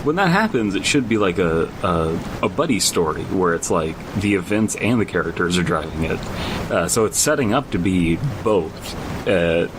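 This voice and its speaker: Man, deep voice, podcast co-host, casual, halting and rambling delivery, noticeable rumble in the background. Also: lively intonation. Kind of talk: casual chat and reading a horror story aloud with commentary. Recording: rumbling background noise throughout.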